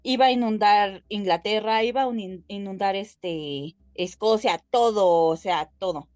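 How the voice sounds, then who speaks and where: A woman with a Mexican Spanish accent co-hosting a podcast, explaining things casually and haltingly as she addresses her listeners. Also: medium pitch, darkish tone, no echo. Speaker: podcast co-host.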